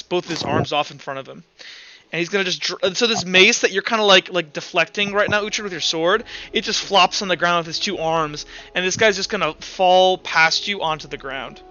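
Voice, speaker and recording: A man narrating a tabletop roleplaying game as game master in an animated, casual, profane way. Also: high-pitched, fairly quick, lo-fi sound.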